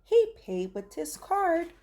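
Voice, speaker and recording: Casting: woman for children's read-aloud, theatrical and animated in pitch, extremely unhurried delivery. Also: a little hiss or hum. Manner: theatrical, deliberate phrasing. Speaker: storyteller, teacher reading aloud. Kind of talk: expressive rhyming children's story read-aloud.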